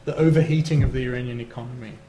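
A man's voice: mid-range voice, fairly even intonation, crowd noise. Man lecturing in a roomy hall. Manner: measured, analytical, with fillers. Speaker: lecturer on Iran. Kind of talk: academic lecture answer. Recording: roomy hall, faint noise.

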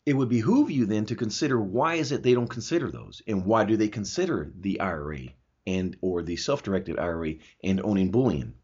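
Husky guy hosting a talk show, explaining his opinions, emphatic, kinda muffled lo-fi sound. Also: on the low side, lively intonation, fairly quick, darkish tone. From Germany. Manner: explanatory, emphatic, rhetorical questions. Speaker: talk show host answering listener questions. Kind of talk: opinionated conversational commentary. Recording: dull, lo-fi audio.

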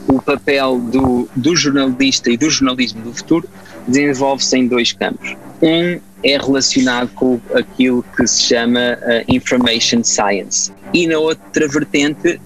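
Man, 30s, european portuguese accent, interview guest, hesitant, mid pitch. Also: unhurried, a bit bright, soft background music, low-quality recording. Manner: hesitant, many fillers and restarts. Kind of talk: casual conversational answers.

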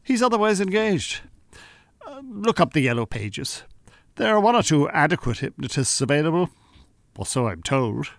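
A man's voice: fairly high pitch, very animated, moderate pace, gravelly, fairly bright tone, no echo, exaggerated voice. A man with an Irish accent playing a calculating con-artist hypnotist in a radio drama, courteous, soothing and unctuous. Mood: evasive, professional. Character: smooth, calculating male con-artist hypnotist posing as scientist.

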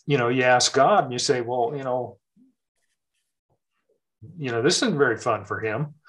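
A man's voice: medium pitch, normal speed, a bit bright. A middle-aged man talking casually about faith and scripture, thoughtful, with many fillers and restarts.

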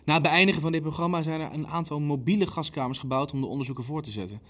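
An actor playing an impatient, ruthless Nazi official in a radio play, insistent and coldly matter-of-fact. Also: male voice, on the high side, rapid-fire, a bit bright, close to an everyday voice. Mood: measured, satisfied. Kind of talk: dramatic dialogue in a radio play.

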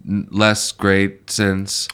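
A man's voice: low voice, monotone, drawn-out words, fairly bright tone, clean tone. A man co-hosting a podcast in casual, easygoing chat, a little nasal from a stuffy nose. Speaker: podcast co-host.

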